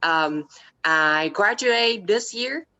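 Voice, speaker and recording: A woman from Vietnam, speaking English in halting, slightly broken phrases as she introduces herself on a video call. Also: mid-range voice, lively intonation, bright, forceful tone, dull audio. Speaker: graduate student panelist.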